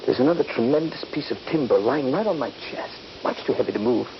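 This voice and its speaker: Actor as trapped soldier, dull noisy radio recording. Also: male voice, medium pitch, expressive, fast talker, close to an everyday voice. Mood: dazed, strained. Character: trapped, injured Union soldier recalling his ordeal. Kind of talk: radio drama acting and first-person narration.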